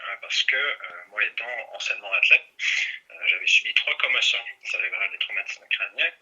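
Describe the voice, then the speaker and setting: Low, rough-edged man with English Canadian accent. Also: animated, fairly quick, pushed and bright, highs cut off. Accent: English Canadian accent in French. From Montreal, Canada. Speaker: interview guest, neurofeedback practitioner and trainer. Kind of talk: radio interview, explaining his work.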